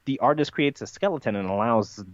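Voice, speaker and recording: A man's voice: medium pitch, brisk. A man in a conversational podcast discussion, explaining his views in an opinionated, emphatic way. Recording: dull, roomy, echoey podcast audio.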